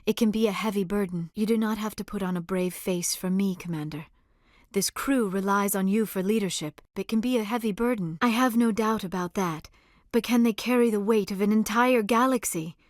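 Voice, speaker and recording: A gentle young female alien researcher from a video game, played by a woman. Her voice sits at a medium pitch and she chooses formal words with care, sounding earnest and a little shy. The sound is dry, with no room around it. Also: no room sound, lightly acted. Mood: concerned, earnest. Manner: formal, careful, measured phrasing without contractions. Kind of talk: video game dialogue acting.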